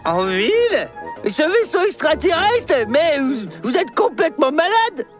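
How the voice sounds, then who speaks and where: High, swooping, excitable young cartoon dinosaur. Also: measured pace. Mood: suspicious. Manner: dramatic, stammering when alarmed. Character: excitable, paranoid young cartoon dinosaur machine obsessed with aliens. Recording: loud music behind the voice. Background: loud cartoon music.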